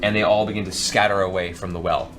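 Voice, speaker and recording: A man narrating a tabletop fantasy game as game master, improvising theatrical descriptions with deliberate pauses. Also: low-pitched, fairly even intonation. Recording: music bed behind the voice.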